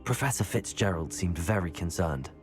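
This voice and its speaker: A man's voice: low voice, animated, normal speed, soft background music. A young man acting a polite, inquisitive student wizard in a video game cutscene. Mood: earnest.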